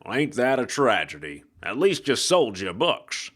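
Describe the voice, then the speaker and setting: A man's voice: mid-range voice, sing-song, measured pace, fairly bright tone. A man voicing a tough, mocking ghost of a private eye in an audiobook, with a slangy, sardonic drawl in a 1940s detective manner. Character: tough hard-boiled male detective ghost, mocking, self-assured.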